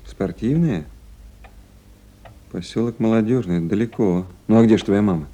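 An actor playing a kindly official on night duty in a 1970s Soviet radio play, gentle and coaxing or businesslike. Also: male voice, fairly low pitch, moderate pace, lightly acted. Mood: gently curious.

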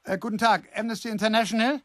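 An agitated man played by an actor in a radio drama, halting and blurting as he insists. The sound is close and dry, with no room around him. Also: sits high, steady tone, no echo, lightly acted. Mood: flustered. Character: agitated, insistent, fumbling man desperate to help. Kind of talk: German radio drama acting.

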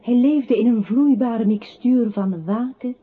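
A woman acting as a narrator in a literary radio drama, with measured storytelling. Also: fairly high pitch. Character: woman narrator telling a brooding man's story. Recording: thin phone-like sound with clear noise.

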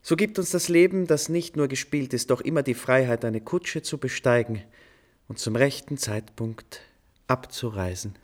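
An actor in a German radio play playing a witty, genial composer with a playful, urbane, theatrical manner. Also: male voice, mid-range voice, normal speed, close to an everyday voice. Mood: jovial, assured.

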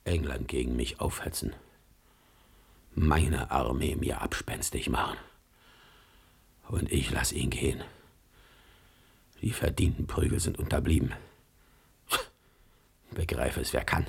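An actor playing an irate, tyrannical old king who barks commands and broods in low mutters, in a 1970s radio drama. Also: fairly even intonation, takes it slowly, vocal fry, pauses often, slightly rough, heavily acted. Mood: brooding.

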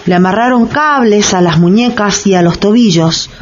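A woman's voice: mid-range voice, moderate pace, highs cut off, close to an everyday voice. A woman giving scripted, dramatic narration in a radio drama.

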